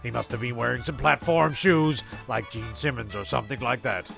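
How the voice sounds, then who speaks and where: A man's voice: medium pitch, brisk, vocal fry, fairly bright tone. A man hosting and narrating a comedy podcast in a rambling, colloquial, mock-serious way, often stumbling over his words. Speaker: host and narrator. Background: music.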